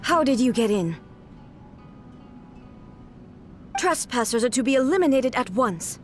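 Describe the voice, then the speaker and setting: A woman's voice: a little high, expressive, fairly quick, a little hiss or hum, close to an everyday voice. A woman voicing a cold, aloof, mechanical puppet ruler in an anime-style video game, terse and formal. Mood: threatening.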